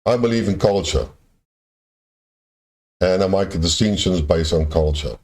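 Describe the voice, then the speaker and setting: A man with a very low voice and an Afrikaans accent delivers an unscripted monologue. He speaks in halting runs, often stopping to restart a phrase while he hunts for the right word. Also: darkish tone. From born in Germany, raised in South Africa. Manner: halting, searching for words with many restarts. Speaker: video essayist.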